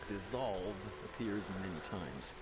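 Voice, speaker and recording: A man's voice: low-pitched, lively intonation, slow, over music. A man playing a dutiful courthouse guard in a video game, reporting in a formal, official way. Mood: official, urgent. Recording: loud noise behind the voice.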